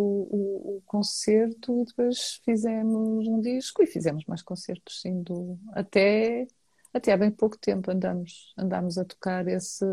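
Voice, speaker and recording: A woman's voice: medium pitch, warm tone, no echo. A woman singer with a European Portuguese accent, talking casually as a guest and often repeating words and restarting her sentences. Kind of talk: casual conversation.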